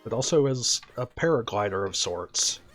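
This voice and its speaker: A man streaming a video game, talking casually and quietly about what he's doing in a relaxed, chatty way. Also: on the low side, moderate pace, soft background music. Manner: soft-spoken, relaxed and chatty. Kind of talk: casual gameplay commentary.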